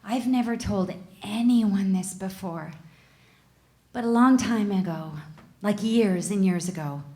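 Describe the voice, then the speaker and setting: A woman's voice: mid-range voice, measured pace, warm tone, natural voice. A woman reading a dry, wry character at a live table read. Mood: confiding. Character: wry woman with a shy secret.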